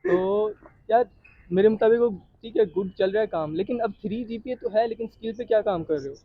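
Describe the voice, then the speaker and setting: A man's voice: high voice, big pitch swings, fairly quick, gravelly, dry recording, phone-quality sound. A man in his late teens with a Pakistani accent, talking casually as an interview guest and mixing in English. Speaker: interview guest, young tech entrepreneur.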